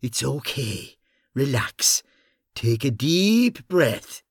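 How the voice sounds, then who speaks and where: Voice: mid-range voice, sing-song, very slow, slightly rough, no echo, studio quality, full character voice. A performer voicing a brash, conniving and sardonic policeman in an audiobook, with a theatrical, commanding manner. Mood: urgent, bossy.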